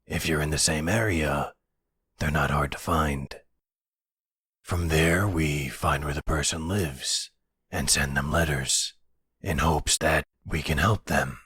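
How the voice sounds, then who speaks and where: A man voicing a gruff but courteous werewolf pack leader in an audio roleplay, measured, formal and protective. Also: a bass voice, bright, forceful tone, no echo, exaggerated voice. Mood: measured, explaining. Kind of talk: intimate audio roleplay acting.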